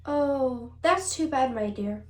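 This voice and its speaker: A woman's voice: sits high, slow. A woman playing a catty, mock-sweet rival actress in a 1940s radio comedy. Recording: echoey, distant from the mic.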